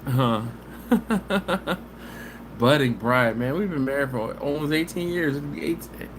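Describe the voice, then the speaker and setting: A man, hosting a casual live stream from home on his phone and chatting easygoing with viewers. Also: a little high. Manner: easygoing and chatty, with drawn-out "mm-mm" hums. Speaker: barbecue channel host. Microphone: phone camera. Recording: live stream audio with background hiss.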